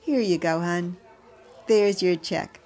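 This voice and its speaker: A woman's voice: lowish voice, slow pace, a little mellow. A woman playing a friendly, familiar diner waitress in an audio drama.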